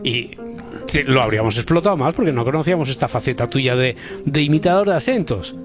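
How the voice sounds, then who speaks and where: Animated male radio host, Spain accent, casual banter, repeated phrases, music behind. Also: medium pitch, fast. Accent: Spanish from Spain.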